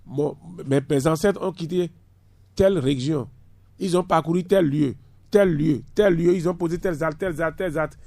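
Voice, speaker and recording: A man's voice: on the high side, normal speed, vocal fry, mellow, no room sound, studio quality. A man in his fifties, a university lecturer speaking as a radio guest, emphatic and fond of repeating words for stress. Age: 50s. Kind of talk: radio discussion.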